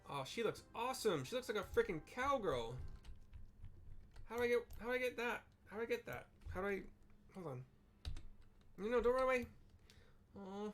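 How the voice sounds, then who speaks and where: A man narrating a fantasy game's story for listeners, with chatty, excitable asides between the lines. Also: high-pitched. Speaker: game streamer narrating story quests. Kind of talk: casual commentary and read-aloud narration.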